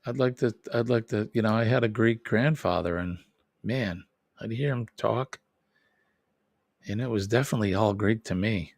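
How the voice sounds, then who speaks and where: A man's voice: lowish voice, moderate pace, vocal fry, darkish tone, no room sound. A man with a Boston accent hosting a casual live stream, rambling and correcting himself as he chats with viewers. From New England. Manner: rambling, self-correcting, chuckles often. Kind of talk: casual live chat reading viewer comments.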